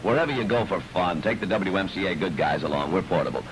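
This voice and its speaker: A man's voice: low voice, fast talker. A man working as a morning disc jockey, wisecracking through 1960s top 40 patter on a scratchy, distorted AM radio recording. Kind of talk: 1960s top 40 radio patter, reading time, traffic and ads.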